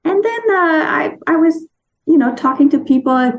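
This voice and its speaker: Woman from denmark, gravelly voice, chatty guest telling a story, stops to hunt for words now and then. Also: high voice, animated, moderate pace, highs cut off. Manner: warm storytelling with restarts and searching for words. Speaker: guest author. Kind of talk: casual interview chat.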